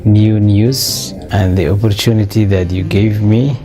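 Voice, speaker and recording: A Somali-born man in his fifties answering interview questions in a measured way, pausing often with fillers. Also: lowish voice, steady tone, mellow, soft background music. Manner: measured, with frequent uh fillers and restarts. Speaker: interview guest, business owner.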